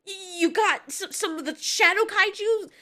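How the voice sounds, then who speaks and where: A woman's voice: high-pitched, lively intonation, unhurried, a bit bright. A woman voicing a distressed female giant moth monster in an audio drama, exclamatory, stammering and incredulous. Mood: shocked, scolding.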